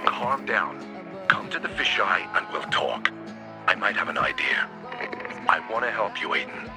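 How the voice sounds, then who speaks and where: An actor playing an older rebel ally in a video game, coaxing over a radio. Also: male voice, lowish voice, big pitch swings, unhurried. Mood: reassuring. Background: music.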